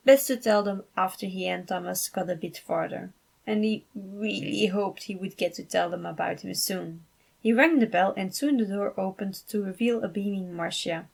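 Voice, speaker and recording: A woman's voice: mid-range voice, normal speed, vocal fry. A woman reading scripted fan fiction aloud as its narrator.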